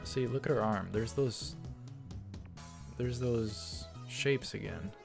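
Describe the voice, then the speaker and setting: A man's voice: medium pitch, slow, creaky. A man hosting a let's play, reading a visual novel aloud and adding casual commentary. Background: faint music.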